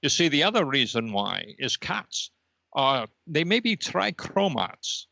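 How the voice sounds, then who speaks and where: A smug, otherworldly dot of energy played by a man holds forth in a mock interview. The voice is crisp-edged and forceful with a gravelly fry, condescending and measured, on a dry, slightly dull recording. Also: fairly high pitch, sing-song, dry recording, exaggerated voice. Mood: condescending, explanatory. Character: smug male energy being, a red laser dot. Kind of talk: improvised comedy mock interview.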